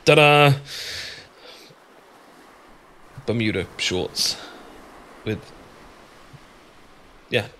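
A man's voice: medium pitch, lively intonation, very slow, a bit bright, slight background noise. A man with a British accent acting an awkward, easily flustered, bashful friend in a romantic ASMR audio roleplay, stammering and rambling as he goes. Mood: bashful, defensive.

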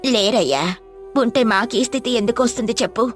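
A woman voicing an old village wife, scolding but affectionate, in an animated moral story. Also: a little high, brisk, faint music behind, lightly acted. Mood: pleading.

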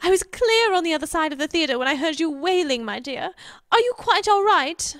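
A woman's voice: very high voice, lively intonation, a little fast, a bit bright, no echo, studio quality. A woman playing an affected, fussing wife of a stage actor in a comedy audio drama. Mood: fussing concern.

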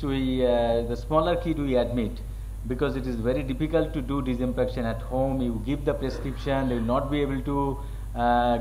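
A man with an Indian accent moderating a medical panel discussion in a halting, repetitive way. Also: mid-range voice, darkish tone. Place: conference hall with panel table. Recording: noisy conference hall recording.

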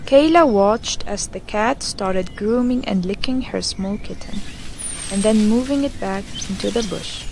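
A woman's voice: mid-range voice, unhurried, a little mellow, poor audio. A woman narrator reading a scripted bedtime story for children. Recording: clear background noise with birdsong. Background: birdsong.

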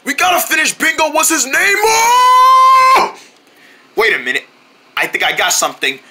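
A woman's voice: sits low, quick pace, heavy background noise, natural voice. A woman voicing an eager, bossy young goat who rallies a group of singing friends in a playful story. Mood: urgent.

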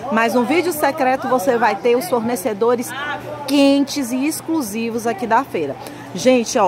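A woman with a Northeastern Brazilian accent presenting a shopping vlog in an enthusiastic, chatty sales style, addressing viewers as friends. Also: on the high side. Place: indoor market lingerie stall.

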